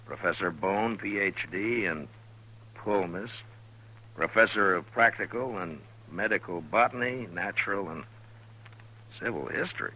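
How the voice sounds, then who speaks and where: An actor playing a gruff, wry, unhurried frontier marshal with a dry, sardonic delivery in an old radio western. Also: male voice, deep voice, sharp tone, poor audio. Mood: wry, skeptical. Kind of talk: 1950s radio western acting. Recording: old radio broadcast, hum and hiss.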